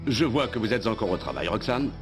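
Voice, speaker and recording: A suave, domineering cartoon official. His low voice swoops with condescension, over background music. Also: very animated, a little fast. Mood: concerned, probing. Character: paternal, domineering man in power, suavely insistent. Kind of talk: French animated series dub acting.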